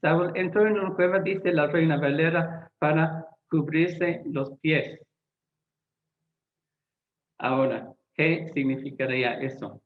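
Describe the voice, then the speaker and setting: A man who is not a native Spanish speaker, a Bible translator giving a lecture-style talk, deliberate and often pausing to search for words. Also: a little high. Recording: echoey, tinny phone-like sound.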